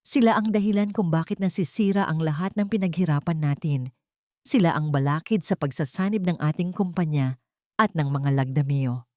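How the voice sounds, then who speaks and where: A woman's voice: low-pitched, normal speed, mellow, no room sound, studio quality, close to an everyday voice. A woman voicing a wealthy older matriarch who is cold, controlled and cutting.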